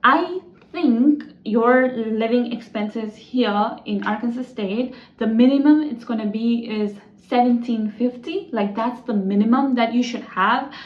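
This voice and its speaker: Young woman, Nepali accent, student vlogger, chatty, filler-heavy, slightly high voice, echoey room, dull lo-fi sound. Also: unhurried. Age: young adult. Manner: chatty, loose, heavy on "like" and "you know" fillers. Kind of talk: casual explainer vlog.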